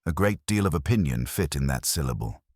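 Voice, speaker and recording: A man reading scripted detective fiction in a measured, wry first-person manner, as an audiobook narrator. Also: a bass voice, expressive, darkish tone, no echo, clean studio sound.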